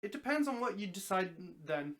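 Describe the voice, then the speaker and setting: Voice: medium pitch, big pitch swings, normal speed, fairly bright tone. A reader plays a male talking snake from a story, affable and sure of himself. He speaks in a middle-range voice, explaining his wishes in a practical, patient way. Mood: patient, measured. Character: affable, matter-of-fact male talking snake, wish granter.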